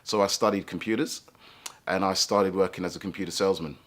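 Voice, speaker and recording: A man with a deep, low-pitched voice tells his own life story. He speaks reflectively and thoughtfully, often pausing to find the right words. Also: steady tone, fairly bright tone. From England, London. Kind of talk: personal life story told to camera.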